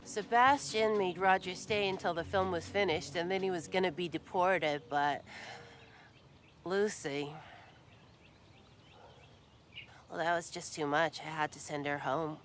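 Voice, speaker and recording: A woman's voice: lowish voice, fairly even intonation, normal speed, slight background noise, lightly acted. A woman playing a harried, emotional movie star in a naturalistic screen drama. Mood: reflective. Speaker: lead character and narrator.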